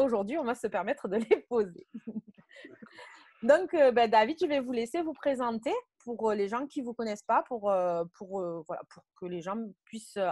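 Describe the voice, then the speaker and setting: A woman's voice: fairly high pitch, a little mellow, no room sound. A woman hosting an interview, introducing her guest in a hesitant way with many fillers. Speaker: interview host.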